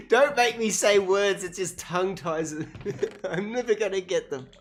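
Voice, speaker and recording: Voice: mid-range voice, expressive, slow pace, slight background noise. An Australian streamer in their late twenties chatting casually through a livestream, rambling and self-deprecating with easy laughs. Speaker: game streamer. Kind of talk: casual livestream commentary while playing.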